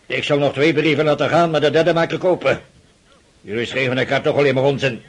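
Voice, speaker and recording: A man's voice: mid-range voice, steady tone, brisk, husky, lightly acted. An actor playing a stern, sarcastic older aristocratic father in a Dutch radio drama, brusque, impatient and mocking. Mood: curt, impatient. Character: stern, sarcastic aristocratic father drilling his daughter in mathematics.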